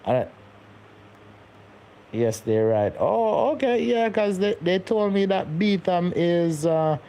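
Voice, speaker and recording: A man's voice: sits high, animated, slow, dark tone, clear, resonant tone. A young man, hosting a casual live stream and chatting with viewers, rambling and repeating his words as he goes. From Jamaica. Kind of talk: casual live-stream chat reading comments.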